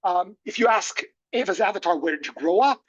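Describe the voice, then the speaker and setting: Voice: mid-range voice, expressive, a little fast, breathy edge. Hesitant speaker on a dull online call. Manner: hesitant, thoughtful, many fillers. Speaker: panel speaker. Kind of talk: online academic presentation. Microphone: video call.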